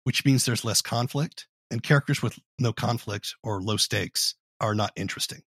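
A man hosting an interview in a deliberate, analytical, conversational way. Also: medium pitch, a bit bright, slightly rough, no room sound, studio quality. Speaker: podcast host, interviewer.

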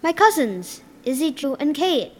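A woman plays an eager young child in an audio drama. The delivery is excitable and the pitch moves around a lot, like a kid keen for a bedtime story. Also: a little slow. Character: eager young child begging for scary stories.

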